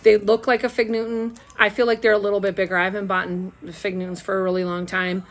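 Woman, middle-aged, mid-pitched, chatty explanatory review, rambling, camera across the table indoors. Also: little pitch movement, quick pace. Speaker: product reviewer. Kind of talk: casual product review to camera. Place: small room at home, indoors. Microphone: camera a short way off across a table.

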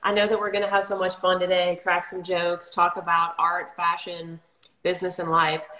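A woman's voice: lowish voice, normal speed. A middle-aged woman from New Orleans, a color consultant, telling her story in a casual livestream interview over a video call. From New Orleans, Louisiana. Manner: articulate, steady storytelling. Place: home room, indoors. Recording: echoey room on a video call.

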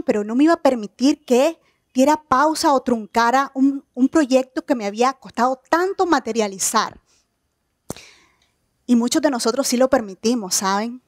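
A woman in her thirties from Nicaragua giving an earnest, measured motivational talk on an outdoor evening stage. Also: sits high, dry recording, studio quality. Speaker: keynote speaker.

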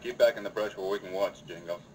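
Steady, terse male western hero played by an actor, lowish voice, 1950s radio drama with faint noise. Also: quick pace, lightly acted. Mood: hushed, watchful. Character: calm, watchful western lawman hero. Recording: noisy old radio recording.

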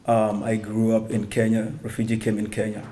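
A man's voice: fairly low pitch, monotone, brisk, dark tone. A young man from Somalia giving a personal testimony as a guest speaker at a stand mic indoors, hesitant, with many ums and restarts. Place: synagogue hall, indoors.

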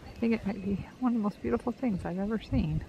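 A woman's voice: medium pitch, fast talker, gravelly, muffled recording, a little hiss or hum. A woman in her forties narrating a travel vlog in a casual, chatty way, speaking into a handheld camera. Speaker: travel vlogger. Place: outdoors at roadsides and beaches, or inside a car. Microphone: handheld camera at arm's length.

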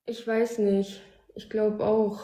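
A woman's voice: medium pitch, level tone, slow pace, mellow, poor audio. A nineteen-year-old woman from Germany chatting on a video call, giving short, shy answers. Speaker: live stream guest. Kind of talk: casual video call chat.

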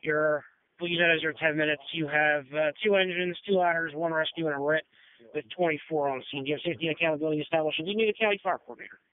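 A man dispatches fire units at a rapid clip, in slightly raised, procedural radio phrasing. The sound is dry and thin, as heard through a scanner's narrow band. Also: a little high, fairly even intonation. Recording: phone-like dispatch radio audio.

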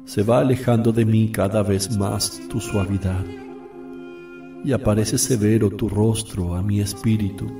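An actor playing an anguished, solemn man praying sorrowfully to his divine Father in a radio drama, with long pauses. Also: on the low side, fairly even intonation. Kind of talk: radio drama acting, slow prayerful monologue. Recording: distant, roomy, with loud music behind.

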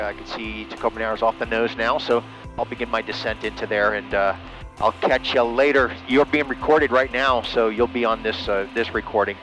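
A man chatting over ham radio, relaxed and enthusiastic, with lots of fillers, from a small plane cockpit and his radio room. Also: mid-range voice, low-quality recording. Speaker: private pilot and ham radio operator. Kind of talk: casual radio chat.

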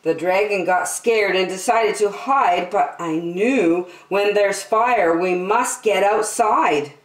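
A middle-aged woman reading a rhyming picture book aloud to children, drawing out and stressing her words in a deliberate storytelling manner. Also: medium pitch. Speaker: children's story time host. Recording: roomy indoor echo.

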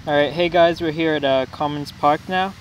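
A man's voice: a little high, normal speed. A young man casually narrating his mobile gameplay outdoors, with frequent ums and restarts. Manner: relaxed, many ums and restarts. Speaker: gaming vlogger. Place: outdoors near a restaurant and park. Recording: outdoor recording with loud traffic noise.

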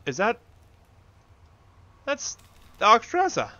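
A man's voice: sits high, huge pitch swings, slow, bright, forceful tone, no room sound, muffled recording. A man giving casual gameplay commentary, talking to the characters on screen and swearing mildly. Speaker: gaming video host.

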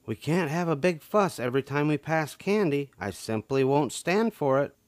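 A man's voice: a little high, lively intonation, moderate pace, dry recording, pitched above the performer's own voice, close to an everyday voice. A man voicing a stern, exasperated mother bear in a storybook, with a firm scolding manner.

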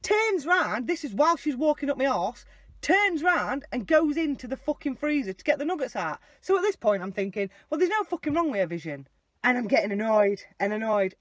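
A young woman telling a story in a casual, animated, sweary way while sitting in a car and filming on a dashboard phone. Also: high-pitched, a little fast, dry recording. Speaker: vlogger. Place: inside a car. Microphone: phone camera mounted on the dashboard.

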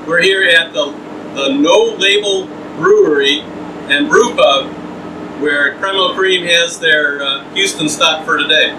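A man's voice: on the high side, a little slow. A middle-aged man hosting a casual interview while getting a haircut, recorded by a far camera mic in a small trailer with fan noise. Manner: chatty, asks questions while getting a haircut. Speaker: host. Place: small trailer interior, windy outdoor event. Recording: roomy far-off sound, fan and wind noise.

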